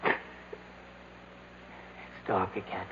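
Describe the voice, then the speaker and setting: Devoted young lover, noisy recording. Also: male voice, extremely slow. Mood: hushed, tender. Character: devoted young man in love, trapped in darkness. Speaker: actor. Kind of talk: 1970s radio drama acting. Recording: muffled, noisy old radio recording.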